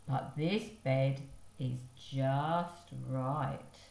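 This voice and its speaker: Woman as a slow, fussy storybook creature. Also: a bass voice, gravelly, darkish tone, voice pushed down, exaggerated voice. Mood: contented. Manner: deliberate, repetitive pronouncements. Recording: roomy echo.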